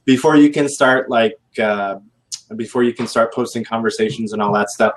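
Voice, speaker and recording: A man's voice: mid-range voice, normal speed. A man talking casually as a guest on a recruiting panel, rambling and correcting himself as he goes. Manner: rambling, self-correcting, laid-back.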